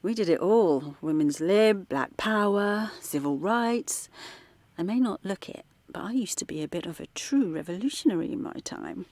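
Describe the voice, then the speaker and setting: An actress playing a middle-aged former activist turned art teacher from London, talking softly late at night in a radio drama. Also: female voice, mid-range voice, animated, normal speed, no room sound. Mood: reflective. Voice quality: soft, hushed late-night talk. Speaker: character in audio drama.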